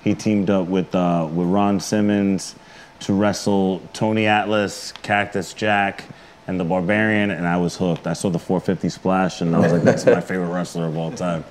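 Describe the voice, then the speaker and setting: Deep-voiced New York man in casual conversation. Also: level tone, moderate pace, slight background noise. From the Bronx, New York. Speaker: interview guest, pro wrestler. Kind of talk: casual interview chat. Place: indoor studio.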